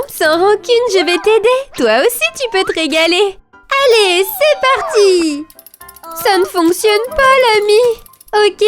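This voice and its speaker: A cheeky little cartoon lion boy acted out by a voice performer, high and excitable. The delivery is eager and swoops up and down, with music playing behind. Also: heavily acted. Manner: excitable, exclamatory. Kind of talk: children's cartoon rhyming dialogue.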